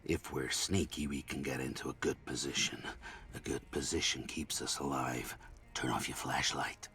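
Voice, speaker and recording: Game stalker: extremely low, hard-edged, careful tactician with short, hushed orders. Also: male voice, steady tone, moderate pace, full character voice. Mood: watchful. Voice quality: very deep, gruff. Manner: terse, commanding, hushed. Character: gruff, cautious, tactical male mutant stalker. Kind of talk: video game character acting.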